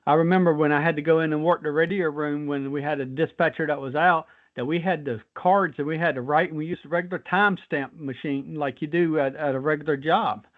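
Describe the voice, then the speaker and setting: Guy on a thin phone-like connection, highish voice, casual folksy host asking rambling questions. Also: steady tone, a little fast, few pauses. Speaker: guest host, former patrol officer. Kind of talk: casual conversational interviewing. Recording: tinny phone-like line.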